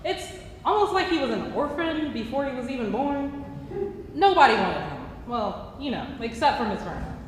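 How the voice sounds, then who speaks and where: Woman acting Scooter, a high-voiced defiant young lover. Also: sing-song, moderate pace. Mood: playful, wistful. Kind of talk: staged play reading. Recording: echoey hall, noisy live stage pickup.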